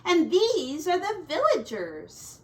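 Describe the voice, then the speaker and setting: A woman's voice: very high-pitched, wildly swooping pitch, takes it slowly. A woman teacher reading a picture book aloud to children in a sing-song, dramatic way. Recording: distant mic, faint background noise.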